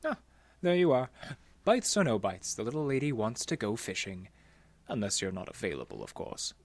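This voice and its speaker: Casting: mysterious, suave vampire stranger for horror audio drama; mannered courtesy, hugely animated pitch, dry studio sound. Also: male voice, moderate pace, no echo. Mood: sly amusement. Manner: formal, polite, elaborately courteous.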